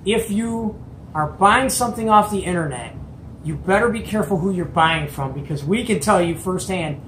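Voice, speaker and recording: A middle-aged man telling a casual story to camera as host, in an animated, emphatic, colloquial way. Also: sits high, moderate pace. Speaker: host of a CB radio show. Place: small indoor hobby radio room. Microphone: camera or phone at arm's length. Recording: roomy echo, background noise.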